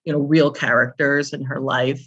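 A woman's voice: extremely low pitch, steady tone, moderate pace, fairly bright tone, husky, muffled recording. A middle-aged or older woman speaking as an interview guest, reminiscing in an anecdotal, conversational way. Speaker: interview guest, author and publisher.

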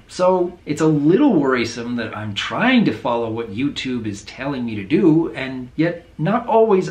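A man in his thirties with a low voice, talking earnestly to camera in a home video, thoughtful and openly unsure. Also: echoey room. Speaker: video creator. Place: small room indoors at home.

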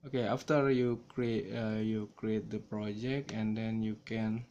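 A man from Indonesia with an Indonesian accent, talking through a software tutorial in a slow, hesitant way with many fillers, recorded on a smartphone. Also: on the low side, level tone, highs cut off. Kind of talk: screen-recorded coding tutorial. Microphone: smartphone camera filming screen.

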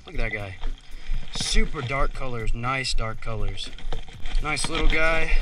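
Excitable young man fishing a creek. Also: medium pitch, unhurried, recorded from a distance, heavy background noise. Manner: excitable, keeps narrating catches, says "y'all" and "dude". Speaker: fishing channel host. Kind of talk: excited casual play-by-play while fishing. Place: wooded creek bank outdoors. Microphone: head- or chest-mounted action camera. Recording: action camera audio under loud background music.

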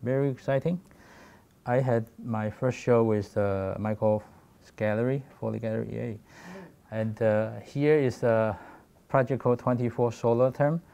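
A man in his forties from Taiwan with a Taiwanese accent, giving a halting talk about his work with many fillers and restarts. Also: mid-range voice, fairly even intonation, creaky, dark tone, highs cut off. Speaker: guest photographer. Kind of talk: presentation talk.

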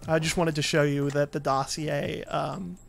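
A man giving casual let's play commentary, explaining the game in a halting way. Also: a little high, little pitch movement, gravelly, faint music behind. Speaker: gamer, let's play host.

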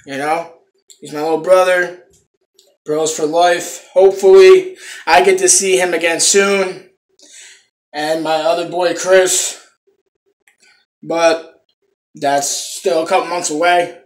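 Young dude vlogging at home, chill and cussing, kinda roomy phone sound. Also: male voice, a little high, steady tone, takes it slowly, pauses often. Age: young adult. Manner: laid-back, swearing, talking to viewers. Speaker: vlogger reviewing a product. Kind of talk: casual product review vlog. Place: small room indoors, at home. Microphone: phone camera close up.

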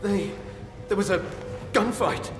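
A man playing a wounded, frightened research scientist in a video game cutscene, halting and anguished. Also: high-pitched. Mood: terrified, halting. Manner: halting, anguished, breaking into outbursts. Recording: echoey hall reverb, music behind.

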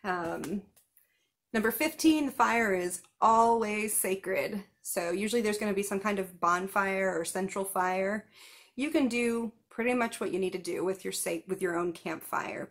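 A woman's voice: mid-range voice, moderate pace. Woman in her 30s chatting tips to her webcam from the couch, easygoing, laughs a little. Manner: chatty, numbered tips with asides and small laughs. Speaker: vlogger giving festival tips. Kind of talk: casual advice to camera. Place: living room at home, seated on a couch.

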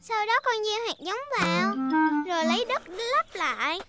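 A little girl pig from a preschool cartoon, speaks in a very high, childlike voice. She is eager and polite, with music playing underneath. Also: lively intonation, normal speed. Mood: curious, eager. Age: child character. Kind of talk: dubbed preschool cartoon dialogue.

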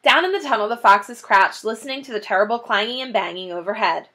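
A woman in her thirties reading a children's book aloud in an animated, dramatic way. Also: on the high side, fast talker. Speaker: children's story reader. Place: small indoor room, classroom-like decor.

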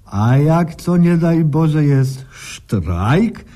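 A man's voice: a little high, animated, extremely slow, dark tone. A man playing an anxious, muddled, stubborn patient in a comic radio sketch, talking plaintively in folksy broken grammar.

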